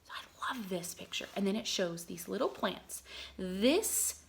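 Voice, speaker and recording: A woman reading aloud to children like a teacher, enunciating deliberately and explaining words simply as she goes. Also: medium pitch, lively intonation, gravelly, slight background noise.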